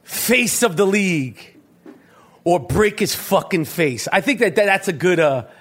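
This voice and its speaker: A man's voice: sits high, animated, a little slow, a bit bright. A man hosting his podcast in casual chat, swearing often and stumbling over restarts. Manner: profane, stammering restarts. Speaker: podcast host.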